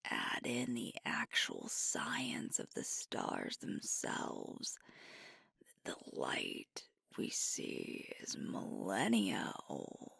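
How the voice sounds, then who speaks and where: Breathy, whispering werewolf girlfriend played by a woman. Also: extremely low pitch, huge pitch swings, no echo, clean studio sound, full character voice. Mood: tender, wistful. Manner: slow, tender, hushed delivery with pauses. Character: sweet, devoted young female alpha werewolf girlfriend. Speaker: ASMR roleplay performer. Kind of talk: intimate ASMR girlfriend roleplay. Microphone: close microphone, dry.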